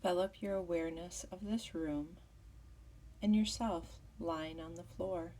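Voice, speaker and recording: A woman guides a yoga nidra meditation in a low, dark-toned voice. Her delivery is soft and husky, with soothing, measured instructions. She sounds a little distant from the mic. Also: steady tone, slight background noise.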